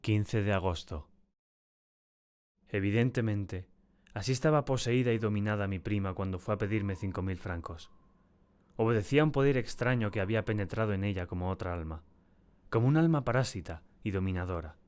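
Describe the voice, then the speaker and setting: A man acting a tormented, terrified diarist in a dramatic first-person audiobook narration, with a solemn and deliberate, theatrical delivery. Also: on the low side, darkish tone, clean tone, natural voice. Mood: uneasy, brooding. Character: tormented, terrified man confiding in his diary.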